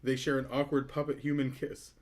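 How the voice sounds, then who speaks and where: A man's voice: mid-range voice, monotone, fairly quick. A man reading stage directions as narrator of a sitcom table read.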